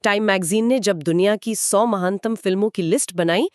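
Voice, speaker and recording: A woman's voice: medium pitch, moderate pace, no room sound. Articulate woman, dry close sound. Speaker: podcast co-host. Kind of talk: conversational film commentary, explanatory.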